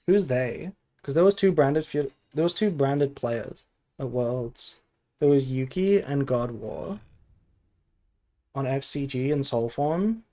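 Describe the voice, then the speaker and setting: Sleepy, mellow-voiced male game streamer. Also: mid-range voice, animated, slow pace. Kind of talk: casual gameplay stream chat and deck analysis.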